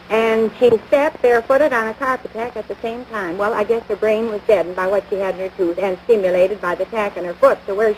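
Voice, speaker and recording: A woman's voice: mid-range voice, darkish tone, natural voice. An actress playing a crabby small-town American housewife and mother in a domestic comedy, nagging in folksy, scornful talk. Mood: chatty, earnest. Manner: folksy ungrammatical speech, scornful, exasperated. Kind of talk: domestic radio-style situation comedy. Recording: noisy vintage broadcast recording.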